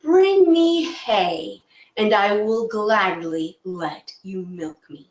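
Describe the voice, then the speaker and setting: A woman voicing a slow, deep-voiced, grumpy cow in a told folk tale. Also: expressive, a little mellow, roomy sound, recorded from a distance. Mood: grumbling.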